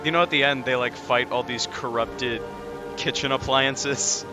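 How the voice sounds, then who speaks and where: A young man with a mid-pitched voice shares stories in a loose, informal way. He halts and restarts as he goes. Clear music plays behind his voice. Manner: halting, filler-heavy, informal. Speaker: storyteller on a gaming channel. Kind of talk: casual storytelling chat.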